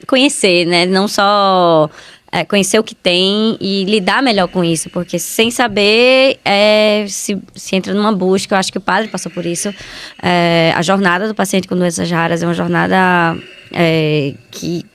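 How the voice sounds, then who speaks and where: A woman's voice: medium pitch, fairly even intonation, normal speed, clean tone. A woman with a Brazilian accent, a medical geneticist giving conversational answers as an interview guest.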